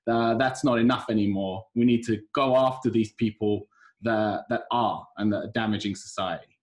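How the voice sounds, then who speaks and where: A man co-hosting a podcast, speaking in a measured, thoughtful way with frequent hesitations. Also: on the low side, fairly even intonation. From United Kingdom. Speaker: podcast co-host. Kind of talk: podcast conversation.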